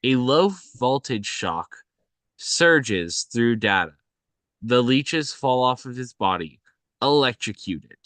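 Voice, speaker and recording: A man narrates stage directions for a script table read. His voice is bright and dry, and he reads slowly and haltingly, often correcting himself. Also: medium pitch, expressive, no echo.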